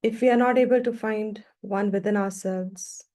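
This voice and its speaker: A woman teaching guided meditation, giving slow, calm instructions with long, measured pauses. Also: mid-range voice.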